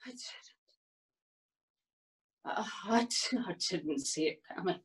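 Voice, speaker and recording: A woman acts out a bewildered, distraught woman in a dramatic stage monologue. Her voice sits high, and she speaks extremely slowly, in halting fragments with long gaps and repeated phrases. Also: lively intonation, fairly bright tone, exaggerated voice. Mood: anguished, bitter. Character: distraught, bewildered woman whose life has suddenly fallen apart. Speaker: monologue performer.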